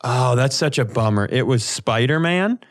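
A man's voice: medium pitch, lively intonation, moderate pace, no room sound, studio quality. A man co-hosting a comedy podcast with casual, teasing, wry banter.

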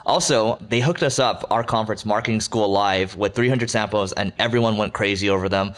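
A man hosts an interview with a somewhat low voice. He sounds upbeat and casual, tripping over his words as he sets up his questions. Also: a little fast, a bit bright. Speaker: podcast host.

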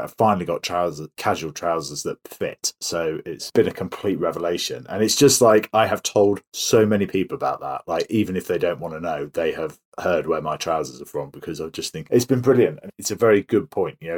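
A man with a deep voice hosts an interview podcast. He talks casually and chattily, framing questions in a conversational way. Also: slightly rough. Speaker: podcast host.

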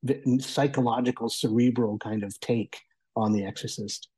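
A man with a mellow, soft-edged voice and a husky grain talks through a review as a guest. His delivery is conversational and thoughtful, often halting as he works toward his point. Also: mid-range voice, fairly even intonation. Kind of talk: casual spoken review.

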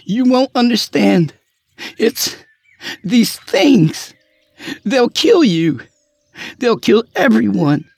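A man voicing a desperate, frantic young woman in a spoken story, his voice raised high as she pleads. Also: sing-song, extremely slow, gravelly, pauses often, a little mellow, no room sound, faint music behind, full character voice.

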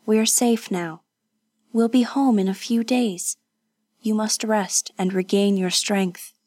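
A woman voicing a calm, tender and resolute young noblewoman in an audiobook, speaking gently and firmly. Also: mid-range voice, slow, dry recording. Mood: gentle, firm. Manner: gentle, soothing, firm. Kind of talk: audiobook character voice.

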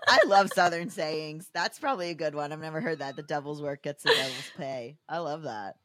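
A woman's voice: sits low, fairly quick, fairly bright tone, no room sound, studio quality. A woman co-hosting a comedy podcast, telling anecdotes in a colloquial, teasing, slangy way. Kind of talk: casual comedic chat and anecdote.

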